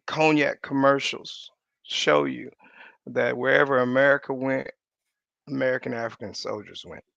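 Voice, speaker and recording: Gravelly, bright-voiced man, emphatic. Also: medium pitch, moderate pace, dry recording, muffled recording. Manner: emphatic, repeats punchlines, jokes. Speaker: podcast co-host. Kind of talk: casual chat.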